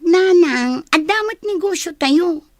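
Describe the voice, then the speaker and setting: A woman's voice: very high voice, lively intonation, takes it slowly, dry recording, clean studio sound, full character voice. A woman acting a stubborn, lazy, complacent student daughter in a Filipino radio drama. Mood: dismissive.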